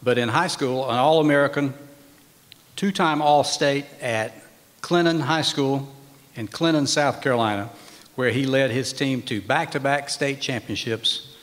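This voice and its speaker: A man emceeing a formal hall of fame ceremony. He reads an honoree's record in measured, emphatic phrases from a podium in a banquet hall. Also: medium pitch, a bit bright. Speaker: master of ceremonies. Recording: long reverb of a large hall.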